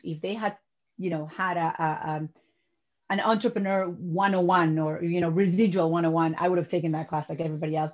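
A woman's voice: on the low side, a little mellow. A woman in her forties, from Peru, talking as a guest on a video call from her home office, repeating words as she builds her sentences. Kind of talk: interview over video call. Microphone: webcam on video call. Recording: dull lo-fi video call audio.

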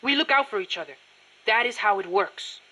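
A woman's voice: medium pitch, lively intonation, a little fast, sharp tone, poor audio. A woman voicing an earnest, proud young boy guerrilla in a video game, youthful and hesitant when flustered. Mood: proud, eager. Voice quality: youthful, boyish.

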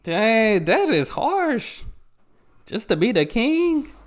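A young man from the United States making relaxed, amused remarks while reacting to a video, on a headset mic in a small room. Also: extremely high pitch, slow. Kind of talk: casual reaction commentary.

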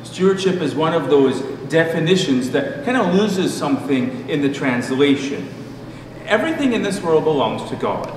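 A middle-aged man preaching a sermon as a pastor, in a measured, deliberate manner with pauses. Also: fairly high pitch, very animated. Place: church room at a pulpit. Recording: distant mic, echoey hall, noisy background.

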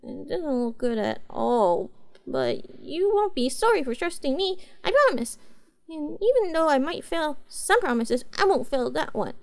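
A woman plays a cute catgirl pet in a roleplay audio. Her voice is very high and girlish, with some creak in it. She talks slowly and hesitantly, earnest and eager to please. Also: very animated, heavily acted. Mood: earnest, apologetic. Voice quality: cutesy, girlish. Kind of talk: scripted roleplay audio, addressing a master.

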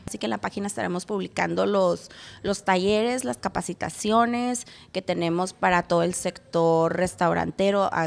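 A woman's voice: fairly low pitch, creaky, a little hiss or hum. A young woman, interviewed in a restaurant on a handheld mic, talking with many fillers and restarts. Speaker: restaurant association president. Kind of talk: news interview. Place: indoors in a restaurant. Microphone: handheld reporter mic.

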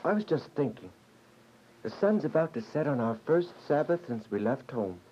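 Eager boy played by a woman, very lively intonation. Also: very deep voice, moderate pace. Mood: contented. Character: earnest, eager younger brother, a boy. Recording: muffled, noisy radio drama recording.

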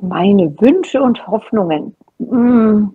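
A middle-aged woman with a husky voice talks as a podcast guest. Her delivery is conversational and informal, at an average pitch for a woman. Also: lively intonation, very slow, narrow, tinny audio. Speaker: podcast guest, hobby knitting creator. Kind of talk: casual chat. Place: small home room at a table, indoors.

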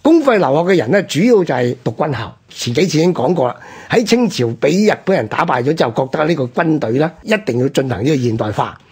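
A man with a Hong Kong Cantonese accent telling history conversationally as a radio host, explaining point by point in a husky, rough-edged voice. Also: on the high side, very animated, fast, hardly any pauses, darkish tone.

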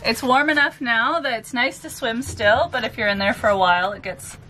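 Brief: upbeat young woman, bright-ish voice, casual boat vlog, wind noise behind. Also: fairly high pitch, expressive, fairly quick, rough recording. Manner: chatty, laughing, teasing. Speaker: sailing vlogger. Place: on a sailboat at anchor, outdoors and in the cabin.

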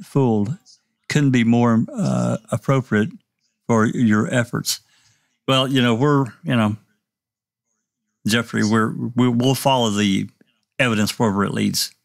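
Gravelly low-voiced man hosting. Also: no room sound. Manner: reads viewer chat aloud, hedging with fillers. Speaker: livestream discussion host. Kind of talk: casual panel discussion.

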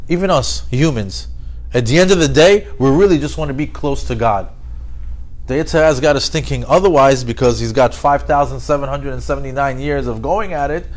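A man's voice: medium pitch, fairly quick. A man giving a conversational Torah lesson as a religious teacher, telling it like a story with rhetorical questions and repeated phrases for emphasis. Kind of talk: animated Torah lesson with acted-out dialogue.

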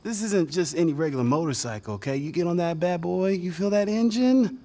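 An actor playing an excitable, joking young man survivor in a video game cutscene, eager and animated. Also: high-pitched, brisk, a little hiss or hum.

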